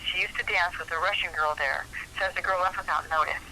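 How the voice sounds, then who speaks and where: Sharp, high-voiced sidekick on the phone. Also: male voice, fairly even intonation, fairly quick, slight background noise, heavily acted, poor audio. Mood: dutiful. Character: dutiful, perky assistant to a detective. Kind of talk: campy radio play acting.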